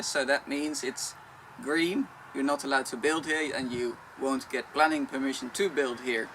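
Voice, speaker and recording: Dutch-accented man vlogging outdoors. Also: mid-range voice. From Netherlands. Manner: plain, matter-of-fact explaining. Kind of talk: casual Q&A vlog. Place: outdoors on a rural property, wind and traffic. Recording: noisy outdoor recording with wind and traffic. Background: wind and road traffic.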